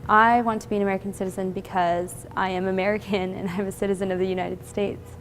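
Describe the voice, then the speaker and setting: A young woman from India, a university student giving a sincere, steady personal statement. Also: mid-range voice, fast talker, a little hiss or hum, low-quality recording. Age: young adult.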